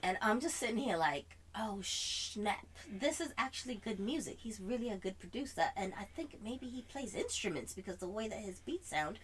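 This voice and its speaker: A woman telling an animated anecdote in casual chat, theatrically acting out dialogue with a put-on British accent. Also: medium pitch, moderate pace, few pauses, a little hiss or hum. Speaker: storyteller.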